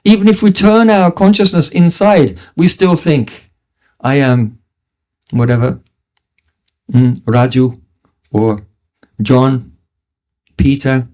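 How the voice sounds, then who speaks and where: A man's voice: medium pitch, slow, pauses often, a little mellow. A middle-aged man from New Zealand giving a devotional lecture, teaching earnestly with frequent restarts and chanted Sanskrit verses. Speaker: spiritual teacher.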